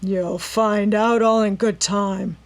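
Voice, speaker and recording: A woman's voice: mid-range voice, steady tone, slow. A woman voicing a brutal male robber gang leader who growls and sneers his threats. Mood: menacing, sneering.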